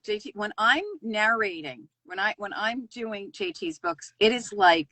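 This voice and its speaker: A woman's voice: medium pitch, unhurried, pushed and bright, no room sound. A woman, an audiobook narrator, talking effusively and enthusiastically in an interview. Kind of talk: casual interview chat.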